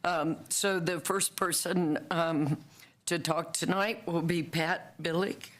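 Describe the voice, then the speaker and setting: A woman from the American South with a raspy voice, chairing a public board meeting in a slow, halting way. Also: fairly low pitch, fairly even intonation, fairly bright tone. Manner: slow and halting, with many fillers.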